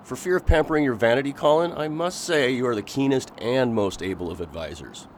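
An actor playing an earnest, agitated Victorian gentleman in a radio drama, pleading and intense. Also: mid-range voice, expressive, brisk, a little hiss or hum, natural voice.

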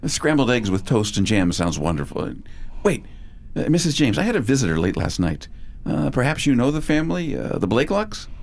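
A man's voice: mid-range voice, sing-song, a little fast, vocal fry. A man playing a formal, kindly, slightly hesitant country doctor in a period audio drama. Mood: polite, curious.

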